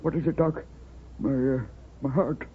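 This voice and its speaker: A man's voice: mid-range voice, steady tone, measured pace, close to an everyday voice. An actor playing a weary, worried old boathouse man with a rural American accent and a hesitant, repetitive manner in a 1930s radio drama. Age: older. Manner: hesitant, folksy, repeats himself. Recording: tinny, noisy 1930s radio recording.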